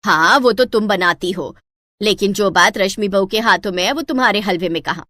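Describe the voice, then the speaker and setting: A woman's voice: mid-range voice, normal speed, pushed and bright, dry recording, studio quality, natural voice. A woman voicing an older, carping mother-in-law in a moral story, gushing and scornful by turns. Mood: dismissive. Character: carping mother-in-law, forever praising the neighbour's daughter-in-law.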